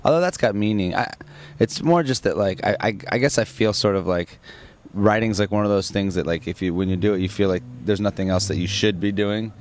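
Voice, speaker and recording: Low-voiced man rambling in an interview. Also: a little fast, slight background noise. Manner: rambling, many restarts and fillers. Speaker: singer of a rock band. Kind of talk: casual interview answers.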